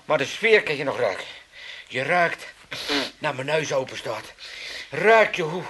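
Cheeky old Amsterdam father, lively and high-pitched. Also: unhurried, slightly rough, full character voice. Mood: sentimental, rambling. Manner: rambling, stammering, folksy and blustering. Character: cheeky, self-pitying elderly father from a retirement home. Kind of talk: 1980s Dutch comedy radio play acting.

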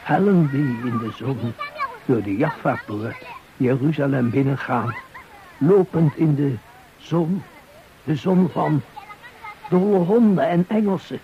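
An actor playing a bitter war veteran in a radio drama, with deliberate, broken phrasing. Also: male voice, on the high side. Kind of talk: poetic radio drama monologue. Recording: muffled radio recording, faint noise.

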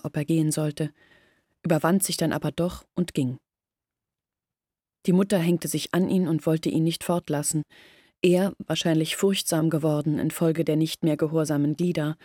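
An actress voicing a young village woman who quietly tells of her family's ruin in a radio play. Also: low voice, dry recording, studio quality. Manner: quiet, sorrowful storytelling. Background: faint music.

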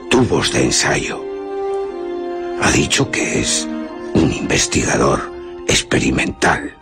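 A man playing a famous male novelist telling his tale in a radio drama, gravelly and deliberate, with teasing dramatic pauses. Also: a bass voice, heavily acted. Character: H. G. Wells as a slow, theatrical male novelist storyteller.

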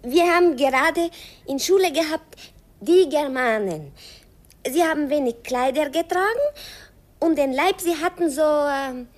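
A woman's voice: high-pitched, expressive, unhurried. An actress playing a playful young Hungarian girl who speaks sing-song German with charming slips, in a radio play. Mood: teasing. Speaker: stationmaster's daughter. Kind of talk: 1950s radio play acting.

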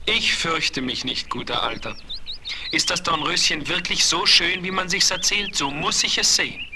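An actor playing an ardent, fearless young prince in a 1970s German fairy-tale radio play, speaking theatrically and deliberately. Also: male voice, fairly high pitch, natural voice. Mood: resolute, ardent. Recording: faintly noisy, clicking vinyl record.

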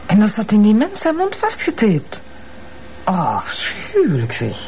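A squeamish, chatty Flemish police colleague, with a rather high and very lively voice. The acting is natural and expressive, as in a TV crime drama, with slight noise behind it. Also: male voice, a little slow, exaggerated voice. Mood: disgusted.

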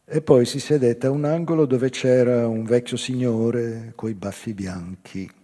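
An actor playing a wistful, intense man recounting an old love in a deliberate theatrical reading, with pauses and a voice that can sink toward a whisper. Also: medium pitch, fairly even intonation, dark tone, lightly acted. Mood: reminiscing. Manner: slow, deliberate, pauses, sometimes dropping to near whisper. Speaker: actor narrating a literary monologue. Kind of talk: theatrical dramatic reading of a first-person story. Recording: reverberant hall, live guitar music behind. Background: plucked guitar music.

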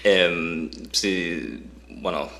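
A man's voice: deep voice, very slow, vocal fry, fairly bright tone. A man co-hosting a casual podcast in a second language, hesitant with many fillers and restarts, laughing often. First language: English. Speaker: podcast co-host. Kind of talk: casual chat. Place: Boston.

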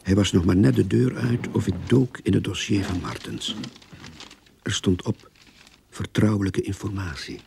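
An actor playing a reluctant, put-upon underling in a radio play, dry and pointed, who also narrates. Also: male voice, lowish voice, gravelly, darkish tone, slight background noise. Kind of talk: radio play acting with first-person narration.

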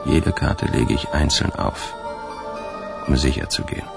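A man acting in a radio drama as a wistful fugitive who confides in his diary in a gravelly, measured voice. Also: steady tone, loud music behind the voice, heavily acted. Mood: guarded, calculating. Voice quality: deep, gravelly, creaky. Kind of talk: radio drama first-person narration.

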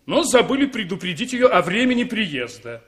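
An actor in a 1960s Soviet radio play as a self-important, pushy car owner, pompous and officious. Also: male voice, high-pitched, very animated, moderate pace, fairly bright tone. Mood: impatient, pushy.

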